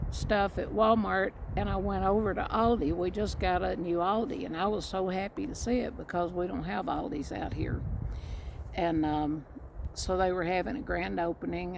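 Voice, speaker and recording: Middle-aged Southern woman vlogger, gravelly, rambling chatty storyteller, faint outdoor noise. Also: on the low side, a little mellow, low-quality recording. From Mississippi and Indiana. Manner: rambling, restarts often, chatty. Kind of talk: casual storytelling to camera. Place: outdoors, storm coming.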